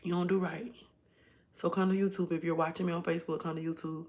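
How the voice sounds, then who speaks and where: A hoarse woman hosting a casual livestream from home, chatting directly to her viewers. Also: lowish voice, flat delivery, fast talker, darkish tone. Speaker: livestream host.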